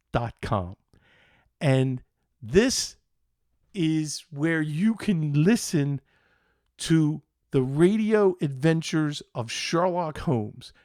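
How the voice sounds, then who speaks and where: A middle-aged man from Philadelphia with an American accent, hosting a casual podcast in a halting, meandering, enthusiastic way. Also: fairly high pitch, a little mellow, no echo, clean studio sound. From Philadelphia, United States. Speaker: show host.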